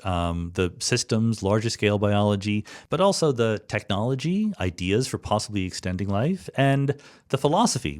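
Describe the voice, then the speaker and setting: Male podcast host, lowish voice, conversational interview style.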